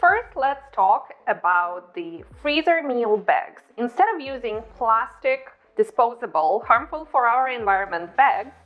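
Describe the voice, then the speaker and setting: A bubbly woman talks through a cooking tutorial, her voice sitting fairly high. She speaks on a clip-on mic in a home kitchen. Light music plays softly underneath. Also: animated, unhurried, few pauses, phone-quality sound. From Ukraine. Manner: bubbly and chatty. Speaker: cooking channel host. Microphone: clip-on lapel mic.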